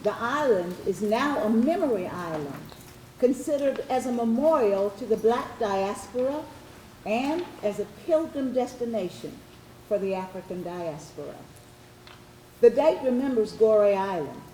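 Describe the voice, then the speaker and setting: Mellow woman narrator in an echoey room. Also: fairly high pitch, animated, measured pace. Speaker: narrator in readers theatre performance. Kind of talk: scripted historical narration read aloud. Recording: echoey room, faint background noise.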